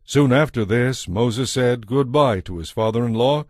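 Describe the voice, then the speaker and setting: A man narrating a dramatized Bible from a script in a measured storytelling manner. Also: medium pitch, a little mellow, no room sound, studio quality.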